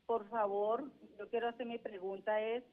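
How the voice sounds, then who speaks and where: A woman's voice: on the high side, steady tone, normal speed. Woman, caller, from Colombia, earnest, tinny phone line. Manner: earnest, pleading. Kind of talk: phoned-in question. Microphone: telephone.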